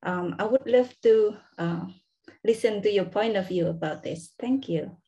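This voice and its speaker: Teacher lady on a video call, slow mellow voice, polite, hunting for words. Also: medium pitch, highs cut off. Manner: polite, searching for words with "uh" and "how can I say". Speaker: lecturer asking a question. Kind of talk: formal audience question.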